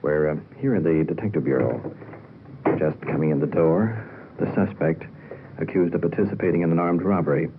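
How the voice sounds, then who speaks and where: Deep-voiced man narrating on scene. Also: fairly quick. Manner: low-key, wry asides. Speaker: radio reporter riding along with police. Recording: tinny, noisy old radio recording.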